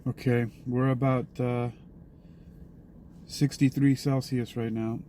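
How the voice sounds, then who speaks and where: A man playing an astronaut in mission dialogue, answering with measured, brief confirmations. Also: mid-range voice, flat delivery, dark tone, slight background noise. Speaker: role-player acting as an astronaut. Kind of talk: improvised radio-style mission dialogue.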